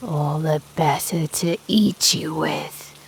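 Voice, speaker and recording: A woman's voice: low voice, gravelly, a little hiss or hum, heavily acted. A woman voicing a sly, predatory wolf with a falsely sweet, coaxing manner in a bedtime storybook. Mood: falsely kind menace. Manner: wheedling, drawn-out, mock-kindly. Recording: soft music and rain behind.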